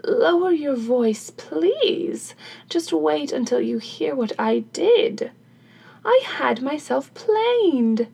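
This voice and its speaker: A woman voicing a coolly composed, secretive young wife in a folktale, measured and imperious. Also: high voice, big pitch swings, vocal fry, darkish tone, voice pushed up, exaggerated voice. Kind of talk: folktale character voice in storytelling.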